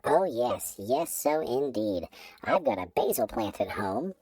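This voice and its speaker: A man's voice: mid-range voice, animated, unhurried, a little mellow, breathy edge, no echo. A man voicing a grumpy little male garden gnome, curt and grumbling, in a comic story reading. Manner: curt, grumbling, disdainful of humans.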